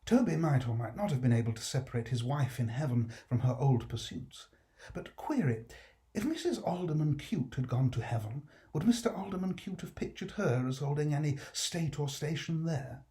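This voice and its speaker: A man's voice: fairly high pitch, normal speed. A man narrating a classic novel expressively, recorded on a built-in computer mic. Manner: expressive storyteller. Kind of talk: dramatic reading of a classic novel.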